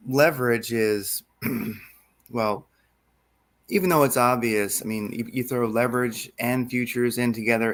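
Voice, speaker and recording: Hesitant man in casual chat. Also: mid-range voice. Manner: hesitant, with many ums and restarts. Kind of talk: casual conversation.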